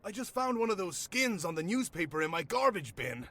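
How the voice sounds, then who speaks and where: A man's voice: high-pitched, sing-song, brisk, bright, forceful tone, full character voice. A man playing a nervous, chatty comic shop owner in an audio drama, stammering and wry. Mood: shaken, anxious. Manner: nervous, stammering, wryly talkative. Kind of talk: naturalistic audio drama acting.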